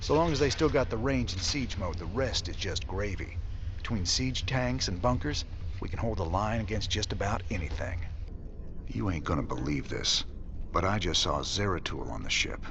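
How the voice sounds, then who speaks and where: An actor playing a gruff, stubborn rebel space commander in a video game, with a laconic, folksy drawl. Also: male voice, on the low side, animated, moderate pace, noisy background. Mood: earnest. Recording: dull game audio under music.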